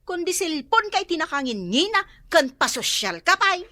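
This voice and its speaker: A woman's voice: very high voice, sing-song, moderate pace, sharp tone, dry recording, studio quality, heavily acted. A woman playing an exasperated, nagging elder sister in an Ilocano radio comedy-drama, speaking emphatically. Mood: scolding, exasperated. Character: exasperated, scolding elder sister who sells smoked fish.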